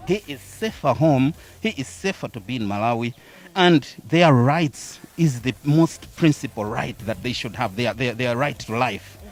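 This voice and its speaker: Brief: man, average pitch, measured and deliberate. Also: expressive. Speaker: supporter interviewed.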